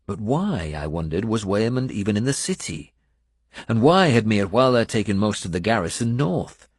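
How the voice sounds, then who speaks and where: A man's voice: lowish voice, big pitch swings, moderate pace, breathy edge, no echo. A man reading dramatic first-person narration as an audiobook narrator.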